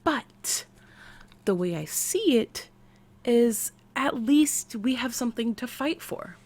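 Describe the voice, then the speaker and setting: A woman with an American accent voicing an eccentric, excitable woman scientist playing therapist in an ASMR roleplay, with quirky, halting delivery. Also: fairly high pitch, exaggerated voice. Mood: earnest, soothing. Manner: quirky, halting, drawn-out delivery with sudden swoops. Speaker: roleplay voice performer. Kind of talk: ASMR character roleplay, speaking to the listener.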